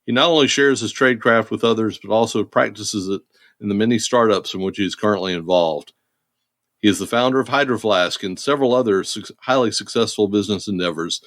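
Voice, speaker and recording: A man in his forties hosting a podcast, reading a guest intro and then chatting in a steady way. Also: on the low side, fairly quick, no room sound, clean studio sound. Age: 40s. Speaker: podcast host.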